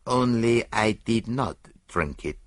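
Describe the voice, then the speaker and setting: A man voicing a dapper, self-satisfied little foreign detective in an audiobook, speaking precisely and deliberately. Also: fairly low pitch, very animated, a bit bright, husky, dry recording, studio quality, exaggerated voice. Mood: placid.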